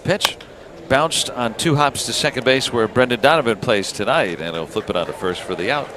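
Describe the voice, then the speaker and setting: A man calling a baseball game live on the radio as play-by-play announcer, in measured clipped phrases with pauses. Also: mid-range voice. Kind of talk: live radio sports commentary. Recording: noisy outdoor ballpark radio broadcast. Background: steady ballpark ambience.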